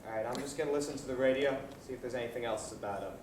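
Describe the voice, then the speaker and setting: A man's voice: mid-range voice, rapid-fire, close to an everyday voice. A man playing a self-absorbed, casually callous husband in a student stage comedy. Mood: impatient. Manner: offhand, casual. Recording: echoey hall, distant mic, noisy.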